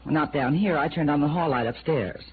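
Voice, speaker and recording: A woman playing a brassy, suspicious, flirtatious widow in a 1950s radio noir drama, with a sharp, slangy, challenging tongue. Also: low voice, fairly quick, lightly acted. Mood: recounting. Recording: tinny, hissy old radio recording.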